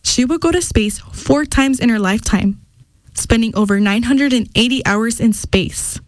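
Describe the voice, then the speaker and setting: A woman narrating a scripted radio feature in a clear, measured voice. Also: on the high side, no echo, rough recording.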